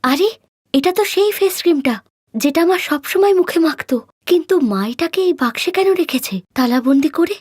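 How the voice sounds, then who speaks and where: A woman's voice: high-pitched, brisk, no echo, studio quality, lightly acted. A woman voicing a timid, hurt orphaned college girl in a cartoon story, speaking in a meek, pleading way. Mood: puzzled.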